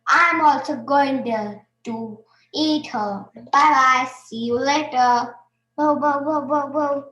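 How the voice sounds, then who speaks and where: A woman's voice: high voice, takes it slowly, fairly bright tone. A woman voicing a hungry dog who barks and boasts while hunting a duck. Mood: boastful. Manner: barking, bold and boastful. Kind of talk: stage play performance. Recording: echoey room.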